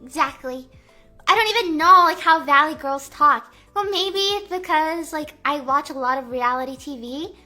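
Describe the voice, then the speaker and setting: A young woman from the Midwest chatting playfully on a live stream, answering chat guesses, full of "like" fillers and drawn-out vowels. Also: high voice, bright, forceful tone, soft background music. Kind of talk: casual live-stream chat reading comments.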